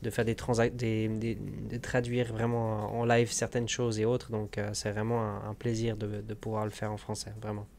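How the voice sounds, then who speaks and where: A man's voice: fairly low pitch, monotone, normal speed, few pauses, a little mellow, a little hiss or hum. A man interviewing over a video call, chatty and enthusiastic, with frequent hesitations. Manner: enthusiastic, filler-heavy, with many "euh". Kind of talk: casual interview chat. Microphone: webcam video call.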